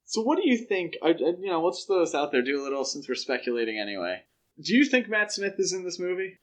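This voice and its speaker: Higher-pitched man chatting as podcast host. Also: sing-song, quick pace. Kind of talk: casual film news discussion.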